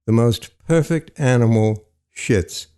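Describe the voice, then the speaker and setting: Mellow male fiction narrator, measured delivery. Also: mid-range voice, clear, resonant tone. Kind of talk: literary audio fiction narration.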